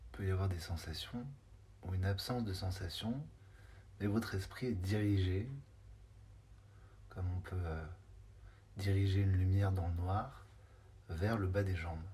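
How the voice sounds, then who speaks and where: Guy w a low voice doing guided meditation, takes his time, short phrases w gaps. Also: warm tone, a little hiss or hum. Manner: unhurried, hesitant, spaced-out short phrases. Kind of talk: guided body scan meditation.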